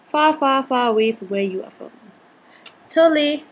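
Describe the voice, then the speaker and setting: A woman in her twenties with a Singaporean accent and a slightly husky voice, chatting playfully through a casual makeup tutorial. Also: high-pitched, normal speed. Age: 20s. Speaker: beauty vlogger. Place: indoors, small home room.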